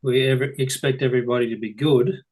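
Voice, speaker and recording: A man taking part in a group call, relaxed and plainspoken. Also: mid-range voice, fast talker. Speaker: session participant.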